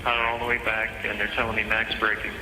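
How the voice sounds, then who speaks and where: A man working as an air traffic controller gives calm, procedural, reassuring instructions to a pilot over the radio. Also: fairly low pitch, fast. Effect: radio transmission. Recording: echoey radio recording with music underneath.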